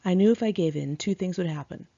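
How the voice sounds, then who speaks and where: A woman reading her own memoir aloud in a candid, expressive, conversational storytelling style. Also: on the low side, fast talker, muffled recording. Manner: candid, expressive, acts out dialogue.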